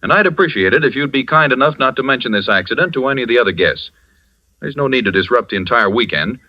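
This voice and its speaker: Man playing a pompous rich host, overbearing, lively pitch, lo-fi vintage radio. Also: quick pace, close to an everyday voice. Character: pompous, smooth wealthy male party host. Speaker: radio drama actor. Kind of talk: 1940s radio acting.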